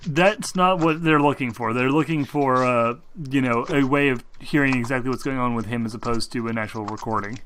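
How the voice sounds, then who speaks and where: Bright-voiced man running a tabletop game. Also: mid-range voice, a little fast, few pauses, slight background noise. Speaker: game master. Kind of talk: improvised tabletop game narration. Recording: music mixed behind voice.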